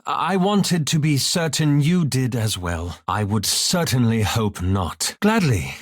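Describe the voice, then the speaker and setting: A man's voice: medium pitch, sing-song, slow pace, no echo. An actor playing a scholarly, easily exasperated male elf wizard in a video game, with formal, dry, sardonic diction. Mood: defensive, firm. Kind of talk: video game character dialogue.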